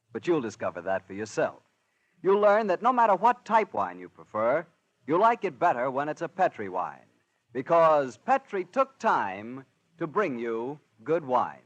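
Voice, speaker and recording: Animated American 1940s radio announcer, man. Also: medium pitch, highs cut off. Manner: polished, salesmanlike.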